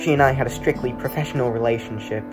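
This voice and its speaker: A man's voice: medium pitch, fairly even intonation, fast talker, lightly acted, rough recording. A young man playing a dutiful, guarded butler in a radio play, speaking with stiff, proper formality. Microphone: video call. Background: prominent music.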